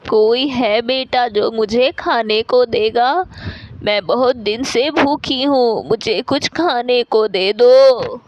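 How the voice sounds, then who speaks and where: A woman's voice: on the high side, slow, dull audio. A woman voicing a poor, grateful old female bird in a cartoon, kindly and pleading.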